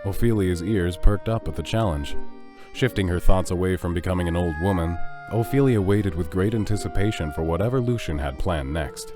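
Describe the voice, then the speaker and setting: A man's voice: low voice, brisk, over music. A man giving scripted narration for an audio drama.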